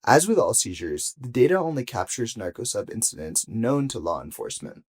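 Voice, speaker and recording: A man narrates a scripted investigative report in a mid-pitched, slightly rough and husky voice. His intonation moves in a lively way across each sentence. He phrases things deliberately, pausing to set off key points as a journalist would. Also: soft background music. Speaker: journalist reading own investigation. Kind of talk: scripted audio news narration.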